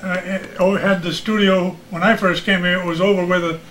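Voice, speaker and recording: An older man reminisces in a casual, folksy chat, often exclaiming. His voice is notably high for a man. There is faint noise in the recording. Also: little pitch movement, brisk, a bit bright, poor audio. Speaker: interview guest, radio veteran.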